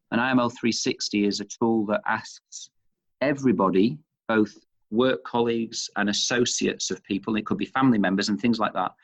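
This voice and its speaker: Interview guest: Northern English man, lowish voice, thoughtful and halting, conversational, on dull lo-fi audio. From Manchester, England. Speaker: interview guest, chief executive of leadership institute.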